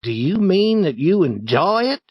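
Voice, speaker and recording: An eager young boy shouts and begs with playful energy, as a male storyteller puts him on. The voice is pitched far above the reader's natural tone and moves in wide swoops. The sound is dry and a little lo-fi. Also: big pitch swings, a little slow, husky, no echo, heavily acted. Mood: pleading. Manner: boisterous, pleading. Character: eager boy pretending to be a riverboat.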